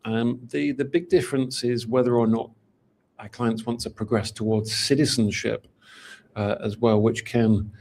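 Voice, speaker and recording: Gravelly-voiced Mancunian man. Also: mid-range voice, flat delivery, moderate pace, darkish tone. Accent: Mancunian English. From England. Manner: chatty, hesitant, frequent fillers and restarts. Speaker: golden visa specialist. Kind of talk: casual interview answers.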